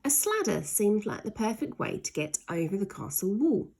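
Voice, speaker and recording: A woman's voice: mid-range voice, sing-song, normal speed, gravelly, a little mellow. A woman reading a children's story aloud expressively, stretching key words for dramatic emphasis.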